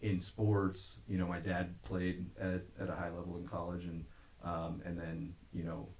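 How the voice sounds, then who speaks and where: Very deep-voiced American man, roomy sound. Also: monotone, unhurried, darkish tone, a little hiss or hum. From Omaha, Nebraska. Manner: rambling, earnest, frequent "you know". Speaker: podcast guest, gym owner. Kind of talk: casual conversational interview. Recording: roomy, echoing podcast recording.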